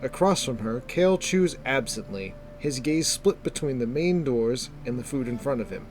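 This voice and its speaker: A man narrating a scripted fantasy story in a measured, descriptive storytelling manner. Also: mid-range voice, expressive, slight background noise.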